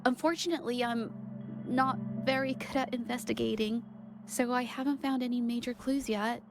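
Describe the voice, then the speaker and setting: Actress as timid young woman, game character, high voice, halting and earnest, soft-hearted, music behind. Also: fairly even intonation, natural voice. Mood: earnest, hesitant. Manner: hesitant, apologetic, halting. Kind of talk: video game voice acting.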